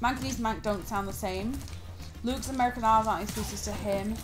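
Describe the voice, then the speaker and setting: A woman's voice: on the high side, fairly quick. A woman with a northern English accent from East Manchester, chatting casually and teasing while streaming a game, with frequent swearing. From northern England. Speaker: streamer co-host.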